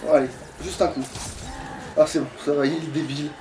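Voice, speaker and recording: A man's voice: on the high side, steady tone, fairly quick, mellow, faint music behind. A man chatting casually as he plays a game, sweary, grumbling and sarcastic. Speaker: gamer playing on a let's play. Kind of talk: casual gameplay chat. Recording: distant mic, background noise.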